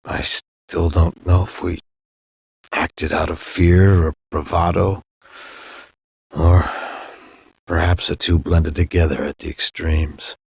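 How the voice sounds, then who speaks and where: A man playing a war-weary space soldier, narrating a past campaign in a grave, measured, reflective way with a husky voice. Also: little pitch movement, no echo, exaggerated voice. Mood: somber, reflective. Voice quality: deep, husky. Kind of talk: dramatic scripted war-memoir narration. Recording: dull, lo-fi game audio.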